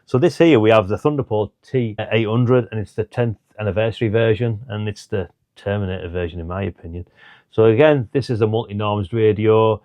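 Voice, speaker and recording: Mellow, low-voiced middle-aged British man. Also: brisk, dry recording, dull audio. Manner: chatty, informal, thinking aloud. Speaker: hobbyist product reviewer. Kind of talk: casual product rundown to camera.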